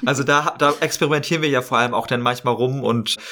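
A man explaining his podcast work in a casual interview, in an animated conversational way. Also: mid-range voice, quick pace, slight background noise. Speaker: podcast maker, editor and actor.

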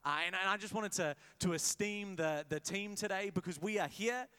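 A young male pastor preaching a sermon in a casual, energetic way, with many restarts and jokey asides. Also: high voice, normal speed, smooth. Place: church service in a hired venue.

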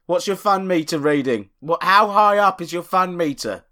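A man co-hosting a comedy podcast, excitable and mock-whiny, swearing as he banters. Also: high voice, normal speed. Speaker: podcast co-host.

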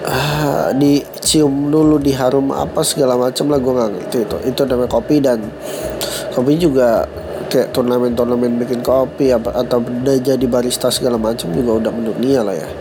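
A man's voice: medium pitch, little pitch movement, fairly quick, noisy background. Stuffy-nosed young man joking on podcast. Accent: Jakarta slang. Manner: joking, self-mocking, laughs at own jokes. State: stuffy nose from a cold. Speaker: podcast host. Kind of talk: casual solo podcast chat.

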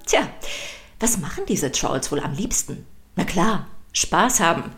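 Low-pitched woman narrating for children. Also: sing-song, measured pace, fairly bright tone, faint music behind. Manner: playful, emphatic storytelling. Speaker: podcast host and narrator.

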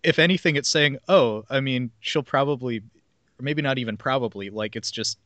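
A man's voice: medium pitch, moderate pace, fairly bright tone, dry recording. A man hosting a podcast, chatting in an informal, agreeable, slightly rambling way. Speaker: podcast host. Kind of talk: casual chat.

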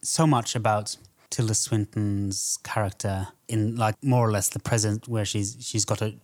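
A man's voice: lowish voice, little pitch movement, moderate pace, darkish tone. A man co-hosting a podcast, talking through a film in a tentative, thoughtful, conversational way. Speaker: podcast co-host. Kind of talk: casual film discussion.

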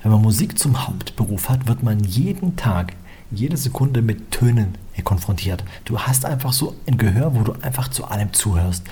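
A man's voice: on the low side, lively intonation, moderate pace, mellow, a little hiss or hum. A young man, a musician, talking casually and at length as an interview guest, with lots of filler phrases. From Germany. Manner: chatty, rambling, with filler phrases. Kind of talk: relaxed conversational interview.

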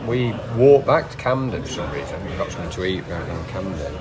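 A man's voice: deep voice, expressive, fairly quick. A man co-hosting a casual podcast chat outdoors, rambling and hesitant with frequent restarts. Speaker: podcast co-host. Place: outdoors by a canal before an away match. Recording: busy crowd noise behind. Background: crowd noise.

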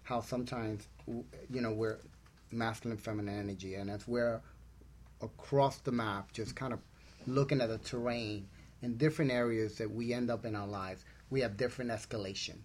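A man with a medium-pitched voice co-hosts a conversational show. He talks reflectively and breaks his thoughts into short, halting phrases. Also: steady tone, slight background noise. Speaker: talk show co-host.

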